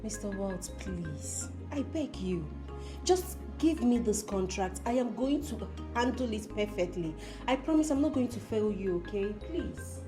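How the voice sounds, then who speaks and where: Actress as imploring businesswoman, film drama, mid-range voice under clear music. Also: medium pitch, expressive, unhurried, close to an everyday voice. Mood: pleading. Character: earnest young woman begging for a business chance.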